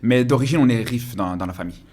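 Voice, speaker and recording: A man's voice: medium pitch, quick pace, a little mellow. A man, a guest in a casual interview, talking passionately and repeating phrases for emphasis. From Belgium. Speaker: guest, content creator.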